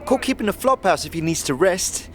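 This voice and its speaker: A man's voice: high voice, animated, fairly quick, fairly bright tone, soft background music, voice pushed up. A man voicing a cocky young street urchin hustling for coins in a fantasy audio drama, wheedling in street slang. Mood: cheeky, wheedling. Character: cheeky young street urchin boy, hustling lantern bearer.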